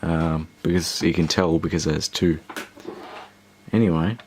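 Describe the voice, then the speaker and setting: A man's voice: sits low, steady tone, unhurried, darkish tone. A man talking casually through a hands-on repair video, hesitant and thinking aloud as he goes. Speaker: host of a radio repair video. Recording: clear background noise with electrical hum.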